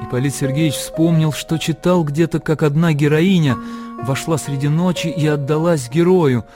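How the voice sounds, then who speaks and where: A man narrating a classic story for a radio play in an expressive literary style. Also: fairly high pitch, moderate pace, music in the background.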